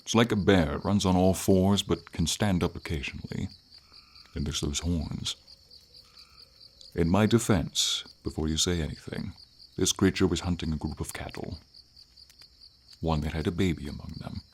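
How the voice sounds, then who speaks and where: A man voicing a friendly, noble silver dragon in an ASMR fantasy roleplay, speaking in an unhurried, thoughtful and slightly formal way with pauses. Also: deep voice, darkish tone, a little hiss or hum, natural voice. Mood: quietly proud, then earnest, gently defensive. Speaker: voice actor. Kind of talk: ASMR fantasy roleplay, slow intimate storytelling. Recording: ambient nature sounds, crickets, light rain.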